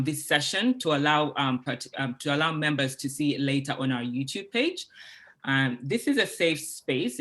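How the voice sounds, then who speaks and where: A young woman from Uganda opening an online panel as host, speaking in a measured, clear way and walking listeners through instructions. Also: low voice, a bit bright. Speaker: event host. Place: video call.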